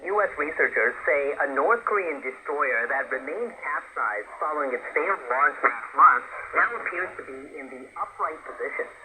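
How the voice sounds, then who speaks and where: A man reading scripted broadcast news as a reporter, heard over a shortwave radio broadcast. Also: medium pitch. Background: clear radio static and noise.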